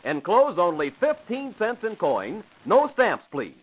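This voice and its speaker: A man giving an eager, urgent, emphatic 1940s radio sales pitch. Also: huge pitch swings, measured pace. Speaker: advertising announcer. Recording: muffled old radio broadcast.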